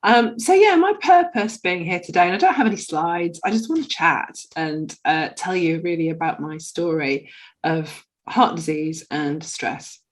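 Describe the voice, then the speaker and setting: A woman's voice: lowish voice, moderate pace. Chatty woman, slightly nasal from a cold. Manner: chatty, with many ums and restarts. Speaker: guest speaker. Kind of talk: casual personal talk.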